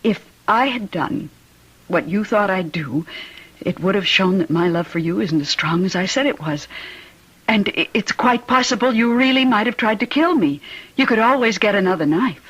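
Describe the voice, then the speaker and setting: Devoted anxious wife, husky voice, measured earnest radio drama delivery. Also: female voice, medium pitch, lively intonation, fairly bright tone, muffled recording. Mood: earnest confrontation. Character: anxious, devoted wife confronting her husband. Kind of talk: old radio drama acting.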